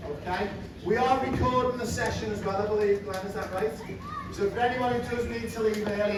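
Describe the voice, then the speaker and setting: Man, Liverpool accent, live presenter, chatty, distant mic, echoey hall, background hum. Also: high voice. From England. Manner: chatty, direct, addresses the room. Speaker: presenter, program founder. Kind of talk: live presentation to parents and kids. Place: large indoor room with audience. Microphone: far room mic.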